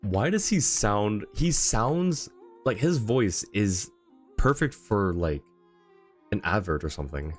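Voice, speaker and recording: A man's voice: on the low side, expressive, takes it slowly, soft background music. A man giving casual livestream game commentary and chatting with viewers.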